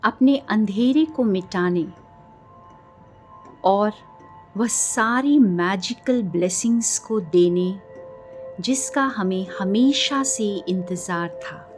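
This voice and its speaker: An Indian woman leads a guided meditation at an extremely slow pace. She speaks gently in a medium-pitched voice and leaves long pauses between phrases. Faint music plays behind her.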